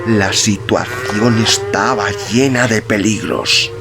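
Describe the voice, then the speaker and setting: Man, low creaky voice, scripted literary narration, audiobook reader, clear background music. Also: steady tone, slow.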